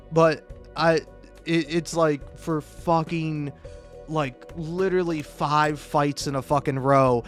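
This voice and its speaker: A man doing casual, comedic, rambling gaming commentary, halting and stammering, self-deprecating and freely profane. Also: fairly high pitch, fairly bright tone. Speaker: video creator and host.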